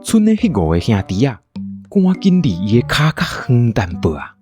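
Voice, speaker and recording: A woman's voice: a bass voice, wildly swooping pitch, moderate pace, dark tone, soft background music. A woman with a Taiwanese accent hosting and narrating a playful scripted story. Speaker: podcast host and storyteller.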